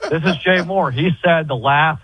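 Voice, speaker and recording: A man who is a loud, energetic NBA insider guest on a sports radio show, telling stories. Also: fairly high pitch, normal speed, a crowd in the background, low-quality recording. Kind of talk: storytelling, casual chat.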